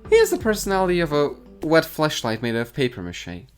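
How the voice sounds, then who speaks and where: Sardonic YouTube commentator, lively pitch. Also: male voice, a little fast, clean tone, faint music behind. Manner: dry, mocking, deadpan asides. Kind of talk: sarcastic commentary. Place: garage.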